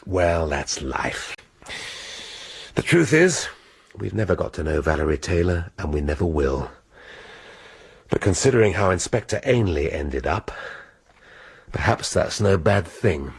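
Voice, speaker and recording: A man's voice: low-pitched, big pitch swings, measured pace, creaky, pauses often, husky, heavily acted. An actor playing a weary, cerebral police inspector in a radio drama, clipped and cultured in his speech. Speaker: detective inspector.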